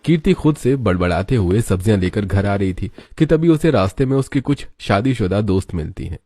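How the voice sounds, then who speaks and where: A man's voice: fairly low pitch, expressive, a little fast, dark tone, no room sound. A man narrating a scripted cartoon story as its storyteller.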